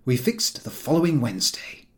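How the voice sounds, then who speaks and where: Man narrating with highly animated pitch. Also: moderate pace. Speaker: audiobook narrator. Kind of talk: expressive narration of a comic novel.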